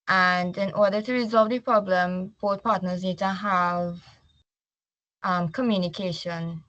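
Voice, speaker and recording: A woman with a Trinidadian accent walks a class through her slides. She reads each point, then loosens into casual explanation. Her voice is bright and forceful, recorded dry with no room echo. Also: mid-range voice, highs cut off. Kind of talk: slide presentation.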